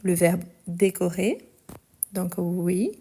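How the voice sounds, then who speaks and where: A woman teaching a live online French lesson, speaking slowly and carefully, repeating each sentence and spelling out the verb endings. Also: lowish voice, mellow, breathy edge.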